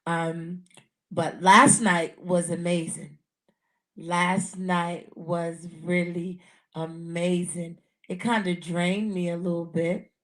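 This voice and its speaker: A woman with a raspy voice hosting a casual live podcast, talking earnestly and repeating herself often. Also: fairly low pitch, flat delivery, slow. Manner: repetitive, earnest, many restarts. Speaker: podcast host. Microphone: handheld mic.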